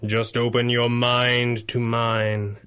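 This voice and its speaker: A man's voice: medium pitch, monotone, slow, fairly bright tone, smooth. An actor playing a stern, commanding masked male hero interrogating a stranger in a 1930s-style radio drama. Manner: stern, dry and probing.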